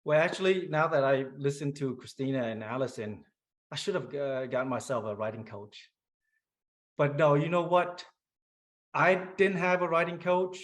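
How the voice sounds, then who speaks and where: A man's voice: a little high, moderate pace. A man author on a panel, plain-spoken and encouraging. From Vietnamese-American. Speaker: author guest. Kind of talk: panel discussion.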